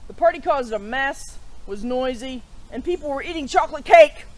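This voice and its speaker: A man's voice: very high-pitched, lively intonation, normal speed, rough recording. A woman voicing a moody, easily angered male pig in a children's storybook, huffing and complaining. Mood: grumbling. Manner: shouting, huffy complaining.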